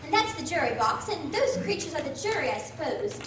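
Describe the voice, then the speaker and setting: A very high, lively little girl's voice acted by a woman rings out in an echoey room. She is prim, polite and curious, with firm opinions, in expressive radio-play style. The mic is distant and the recording noisy. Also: a little fast, music in the background. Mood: prim, opinionated. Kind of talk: amateur radio drama acting.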